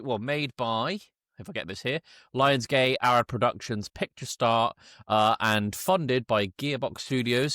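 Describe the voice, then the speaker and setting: A man's voice: on the low side, sharp tone, no room sound. Man hosting a casual film podcast, dry sound. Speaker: podcast host. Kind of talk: casual film review chat.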